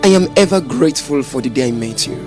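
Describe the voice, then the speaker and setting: A man's voice: on the high side, expressive, fast talker, lightly acted. A man with a Nigerian accent acting an adoring husband, tender and declamatory, in a romantic radio drama. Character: adoring, poetic husband in love. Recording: music behind the voice.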